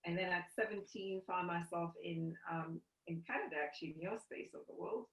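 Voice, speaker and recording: A woman's voice: on the low side, brisk. South African woman on a roomy video call. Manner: earnest, restarts phrases often. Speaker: guest, company CEO. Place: home room with bookshelves. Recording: roomy, distant, dull video call audio.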